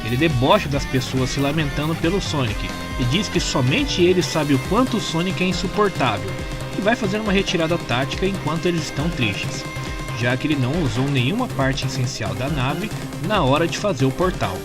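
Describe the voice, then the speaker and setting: A Brazilian man narrates a scripted story recap with an expressive delivery. His voice sits fairly high and swings widely in pitch. Guitar music plays clearly behind him. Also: brisk.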